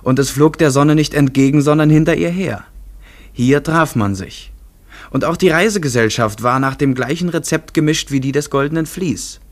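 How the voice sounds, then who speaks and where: A man narrating a literary radio play in a measured, precise manner. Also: a little high.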